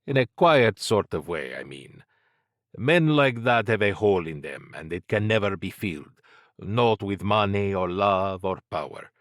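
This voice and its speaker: A man's voice: on the low side, expressive, a little slow, dry recording, studio quality. A man voicing a wealthy, cigar-smoking fixer who talks in an expansive, wry, musing manner.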